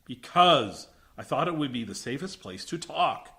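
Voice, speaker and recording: Sci-fi radio drama base commander, male actor: high-strung, forceful, swinging delivery, defensive yet earnest, emphatic protests. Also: expressive, moderate pace. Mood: indignant, protesting. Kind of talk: radio science fiction drama acting.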